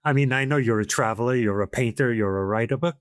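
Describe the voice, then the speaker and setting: A man's voice: mid-range voice, quick pace, smooth, no room sound, studio quality. An older man hosting an interview show, talking in a rambling storytelling way with many restarts. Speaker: podcast host. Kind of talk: casual interview chat.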